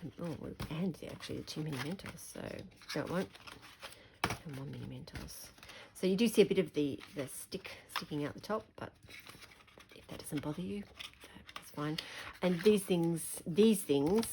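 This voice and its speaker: An Australian woman with a slightly croaky voice, chatting through a hands-on craft tutorial at her desk. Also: fairly low pitch, background noise. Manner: chatty, relaxed, thinking aloud. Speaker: craft demonstrator.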